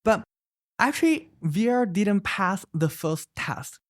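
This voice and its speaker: Founder, thirties, really lively up-and-down voice, lots of "right?" and examples. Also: medium pitch, slow pace, dry recording, studio quality. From China. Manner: animated, emphatic, often adds "right?"; explains with many examples. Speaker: startup founder. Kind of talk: interview answers.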